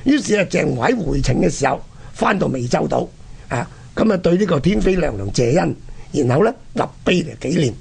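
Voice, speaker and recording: A man's voice: fairly high pitch, sing-song, fairly quick, creaky, a little mellow, slightly rough. A man with a Hong Kong accent hosting a history programme, telling stories colloquially and posing rhetorical questions. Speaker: radio history programme host. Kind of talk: animated historical storytelling.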